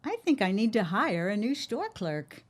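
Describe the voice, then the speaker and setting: An actress playing a prying, sharp-tongued middle-aged mother who keeps a market, arch and dry, in a period audio drama. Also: mid-range voice, sing-song, normal speed. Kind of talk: period radio-style audio drama acting.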